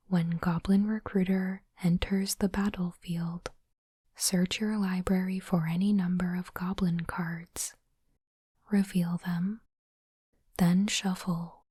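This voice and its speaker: Woman whispering very slowly, ASMR style. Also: on the low side, dry recording, studio quality. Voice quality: breathy, unvoiced whisper. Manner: slow, deliberate, softly reading aloud. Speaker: host of an ASMR unboxing channel.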